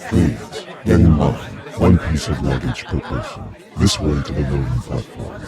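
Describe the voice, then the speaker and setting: A courteous alien attendant speaks in an extremely low, unhurried voice, polite and orderly. Loud music and a dense crowd swell behind him, as in a radio play. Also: male voice. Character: calm, courteous alien attendant herding passengers. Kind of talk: radio drama acting. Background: crowd chatter and music.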